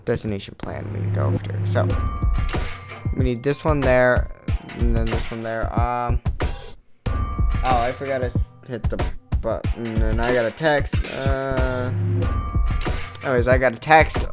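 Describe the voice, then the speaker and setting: A hoarse young man rambles through a game, thinking aloud and puzzling things out in a worn, tired voice. Game music plays clearly behind him. His pitch sits in an ordinary middle range. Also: a little slow, long pauses. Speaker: let's play host. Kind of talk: casual gameplay commentary.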